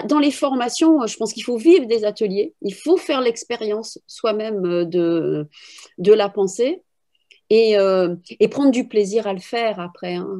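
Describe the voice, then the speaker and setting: Higher-voiced, mellow woman explaining. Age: middle-aged. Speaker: philosophy teacher and trainer, interviewee. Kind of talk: spontaneous explanatory interview talk. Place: room at home with bookshelves, indoors. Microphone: video call, computer microphone.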